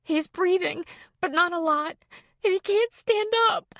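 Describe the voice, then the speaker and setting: A woman voicing a panicked, tearful young girl who speaks in shrill, sobbing bursts. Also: slow pace, a bit bright, dry recording, clean studio sound, heavily acted.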